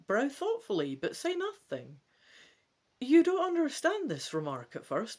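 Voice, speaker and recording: Expressive woman reading aloud, swooping pitch. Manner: expressive, playful storytelling. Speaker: host of a gamebook let's play. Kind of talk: dramatic reading aloud with casual asides.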